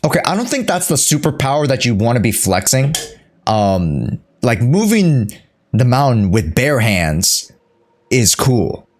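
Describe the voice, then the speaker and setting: Male game streamer, live commentary; jokey, drawn-out reactions, unhurried delivery with lively pitch. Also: a little hiss or hum.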